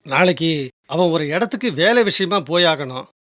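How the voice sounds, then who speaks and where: A man's voice: on the high side, animated, moderate pace, fairly bright tone, dry recording, studio quality. An actor playing a loyal, earnest young working man in a Tamil radio drama. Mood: pleading. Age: young adult. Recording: music behind the voice.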